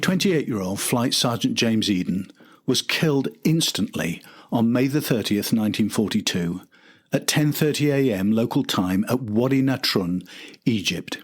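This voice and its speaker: A man's voice: mid-range voice, husky. An older man reading a scripted documentary narration as the host and storyteller.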